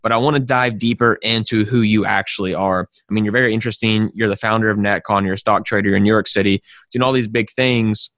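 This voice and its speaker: Dry, even-toned male interview host. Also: lowish voice, fast talker, few pauses, no echo. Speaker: podcast host. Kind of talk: interview hosting.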